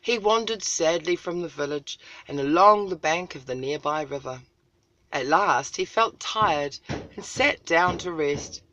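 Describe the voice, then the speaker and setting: Female storyteller from New Zealand, bright and animated, dramatic pauses, slightly dull home recording. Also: deep voice. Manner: dramatic, with deliberate pauses and emphasis. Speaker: storyteller, channel host. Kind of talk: expressive children's story read-aloud.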